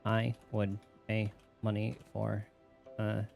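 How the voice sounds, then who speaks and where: A man's voice: fairly low pitch, completely flat delivery, slow pace, creaky, darkish tone, soft background music. A man giving casual, rambling gameplay commentary as a streamer, in an offhand way. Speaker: game streamer.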